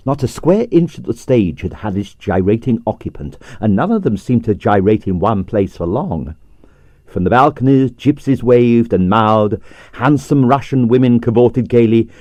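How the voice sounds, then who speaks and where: Expressive male audiobook narrator. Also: medium pitch, dry recording. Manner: expressive, lively storytelling. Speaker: audiobook reader.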